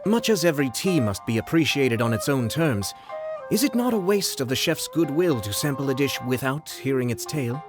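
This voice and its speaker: A man voicing a courtly, suave young lord in a video game, composed and slyly amused, with formal, polite, measured phrasing. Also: medium pitch, animated, music in the background, lightly acted. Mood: approving. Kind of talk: video game cutscene acting.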